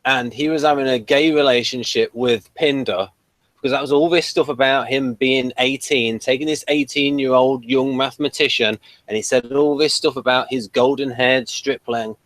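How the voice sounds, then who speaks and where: A man's voice: mid-range voice, steady tone, normal speed, a bit bright, dry recording. A man in casual debate as a panel reviewer, eagerly insisting on his points with stumbling restarts. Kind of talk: casual chat, explaining and debating TV trivia.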